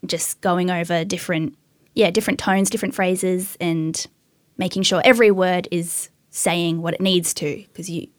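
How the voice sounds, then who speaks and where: A young woman guest in a casual interview, talking easily and laughing often, with a naturally high voice she works to keep lower. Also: fairly even intonation, normal speed, no echo, clean studio sound. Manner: laughs often, self-deprecating, bubbly. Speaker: actress and writer guest.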